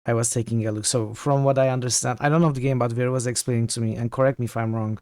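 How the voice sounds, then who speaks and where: A hoarse man in his home streaming setup talks casually to his viewers, rambling and halting as he thinks aloud. His voice sits at an ordinary pitch, and the room is dry, with close sound and no echo. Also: steady tone, darkish tone. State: hoarse from illness, losing his voice. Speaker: game streamer. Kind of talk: casual livestream chat.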